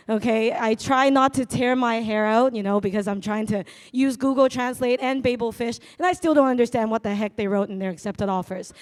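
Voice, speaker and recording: Woman, raspy and brash, self-deprecating stage patter into a handheld mic, voice sits a bit high. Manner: loud, brash, self-mocking humor. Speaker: notary public at a business event. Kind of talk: live stage sponsor talk. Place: event hall stage.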